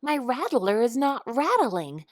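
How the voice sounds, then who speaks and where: A woman's voice: fairly high pitch, sing-song, measured pace, dry recording, clean studio sound, voice pushed up. Jake, woman doing a groaning rattlesnake. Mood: pleading. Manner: groaning, pleading. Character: worried male rattlesnake patient.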